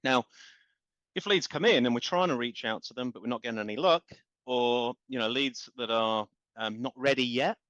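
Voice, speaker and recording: A man talks with passion in long, rambling explanations, stumbling over repeated words as he builds his point. His voice is mid-pitched. The recording is dry and dull, with a lo-fi edge. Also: normal speed, fairly bright tone, no room sound. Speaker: guest, marketing company founder. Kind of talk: business podcast interview.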